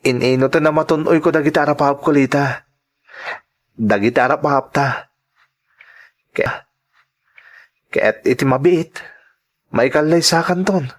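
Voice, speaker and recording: A man's voice: on the high side, unhurried, pauses often, natural voice. A man with an Ilocano accent playing an earnest, devoted young son working abroad, in sentimental radio drama style. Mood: reassuring, hopeful.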